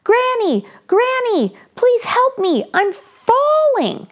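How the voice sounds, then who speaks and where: A woman voicing a lazy, mischievous young boy who whines and pleads with drawn-out words in a children's storybook. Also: extremely high pitch, wildly swooping pitch, fairly bright tone, soft background music, pitched above the performer's own voice, exaggerated voice. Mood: frightened, crying out.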